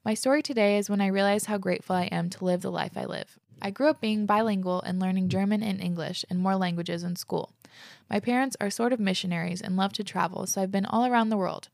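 A young woman hosts a podcast, reading listener letters aloud and then chatting about them loosely, full of "like" and the occasional swear word. Her voice has a gravelly, fry-tinged edge and sits at an everyday middle pitch. The sound is close and dry, with no room around it. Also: quick pace, darkish tone, no room sound. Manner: reads listener messages aloud, then reacts candidly with swearing and "like" fillers. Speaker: podcast host.

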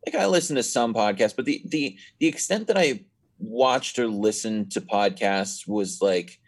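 Low husky-voiced young man. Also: expressive, normal speed. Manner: rambling storyteller, many restarts. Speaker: podcast guest. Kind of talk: casual chat.

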